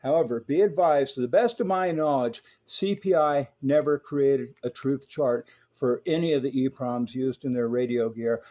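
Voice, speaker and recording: A man's voice: mid-range voice, normal speed, hardly any pauses, dark tone, highs cut off. A middle-aged or older man narrating an informal technical demonstration as a repair technician, plain-spoken and folksy with plenty of slang. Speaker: host, radio repair technician.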